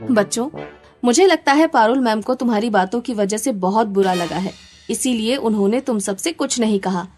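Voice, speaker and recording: Woman playing a high-voiced kind, firm cartoon schoolteacher. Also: moderate pace, a little mellow, faint music behind, close to an everyday voice. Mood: gently admonishing. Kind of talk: children's cartoon voice acting.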